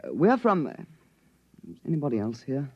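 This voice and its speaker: A clipped intel officer, old radio play, bit hesitant, dry muffled recording. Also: male voice, a little high, sing-song, moderate pace, breathy edge, no room sound. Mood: hesitant, businesslike. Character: reserved, businesslike British intelligence officer. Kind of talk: old-time radio drama acting. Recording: dull vintage radio recording.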